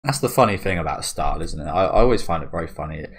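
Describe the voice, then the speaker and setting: A man's voice: low voice, animated, very fast. A man hosting a manga reaction video. He thinks aloud in a rambling, casual way, with many restarts and tag questions. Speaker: manga reaction channel host.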